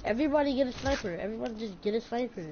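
A woman's voice: a little high, racing. A woman chatting casually while playing a shooter game with friends, playful and teasing, bossing her teammates around. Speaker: gamer streaming with friends. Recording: dull lo-fi sound, loud game noise behind. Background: loud gunfire and game sounds.

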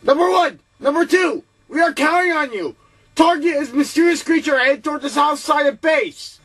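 A man's voice: very high voice, normal speed, a bit bright, poor audio. A man playing a bossy military sergeant who barks theatrical orders in a hobby comedy short. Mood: determined. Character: bossy military sergeant commanding a comic squad.

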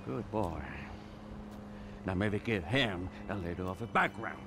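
Menacing gravelly middle-aged crime boss. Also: male voice, low voice, animated, background noise. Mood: coldly condescending. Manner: deliberate, mocking politeness. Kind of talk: video game cutscene acting.

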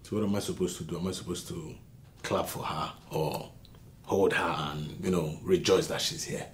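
An actor with a Nigerian accent playing a bitter self-made husband who rants at his wife about his upbringing, swinging from low mutters to shouts. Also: fairly even intonation, moderate pace, a little hiss or hum, close to an everyday voice. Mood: angry. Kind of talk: melodrama acting.

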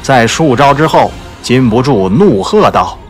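A man's voice: medium pitch, expressive, measured pace, faint music behind. A man narrating a martial arts novel as a dramatic audiobook.

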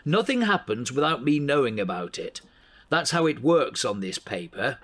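Blunt, exasperated newspaper editor. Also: male voice, mid-range voice, very animated, normal speed, a bit bright. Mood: angry, exasperated. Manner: scolding, blunt, authoritative. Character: exasperated, bossy male local newspaper editor. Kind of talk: audio drama acting.

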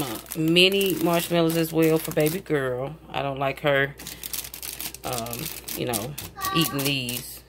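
A woman's voice: low-pitched, fairly bright tone. A woman vlogger chatting casually and enthusiastically to viewers about groceries in a folksy way, recorded on a handheld camera in a home kitchen. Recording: clear background noise, crinkling packaging.

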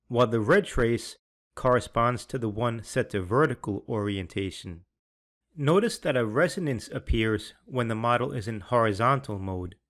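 A man, a sales and applications engineer, giving a measured scripted voice-over that explains technical slides and software. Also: mid-range voice. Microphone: voice-over over slides and software screens.

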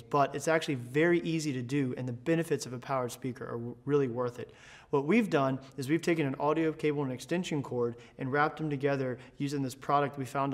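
An American man in his thirties giving an instructional product tutorial in an explanatory, promotional way. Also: a little high. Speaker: presenter, product education manager. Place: large indoor school band room.

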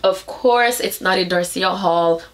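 A woman in her twenties with a stuffy nose, chatting upbeat and animated through a clothing try-on haul in her bedroom. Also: lowish voice, unhurried. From Canada. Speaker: fashion vlogger. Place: bedroom with clothing rack, indoors.

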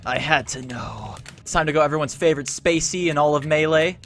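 A young man hosting a gaming video, teasing his friend with loud, laughing trash talk as they play. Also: on the high side, brisk, gravelly, a little hiss or hum. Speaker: gaming channel host. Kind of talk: casual gameplay banter. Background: game music.

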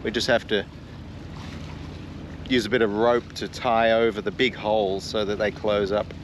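Easygoing male sailing vlogger, chatty and unscripted, on a camera with clear boat noise. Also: on the low side, flat delivery, moderate pace. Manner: chatty, easygoing, thinking aloud with fillers. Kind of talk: casual vlog chat. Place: on a sailboat, on deck and in the cabin. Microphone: action camera held at arm's length.

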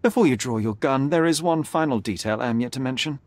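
An actor playing a confident, sharp young detective who speaks formally and articulately, needling and unflappable in a dramatic video game style. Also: male voice, mid-range voice, fast talker, no echo, natural voice. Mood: assured, accusing.

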